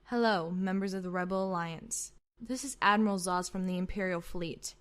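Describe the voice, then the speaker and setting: A woman's voice: fairly low pitch, normal speed, a bit bright, close to an everyday voice. A woman playing a haughty, menacing imperial admiral in a sci-fi fan roleplay, pompous and mock-courteous. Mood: smug, mocking. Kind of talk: scripted fan audio roleplay.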